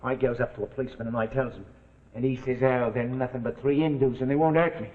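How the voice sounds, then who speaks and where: An actor playing a boastful, rough male crook with working-class dialect, in 1940s television suspense drama style. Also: mid-range voice, fairly even intonation, quick pace, a crowd in the background, lightly acted. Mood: gleeful boasting. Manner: rustic working-class dialect, swaggering storyteller. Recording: muffled, noisy old 1949 TV soundtrack.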